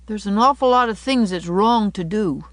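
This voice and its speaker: A woman's voice: mid-range voice, sing-song, normal speed, dry recording, voice pushed up. A woman voicing a mischievous but earnest little boy from a storybook, talking in frank, childish colloquial speech. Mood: inquisitive, earnest. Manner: childish colloquial talk, dropped syllables.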